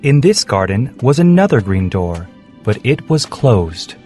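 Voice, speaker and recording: A man's voice: mid-range voice, sing-song, a little slow, warm tone, faint music behind. A man narrating a scripted story for children.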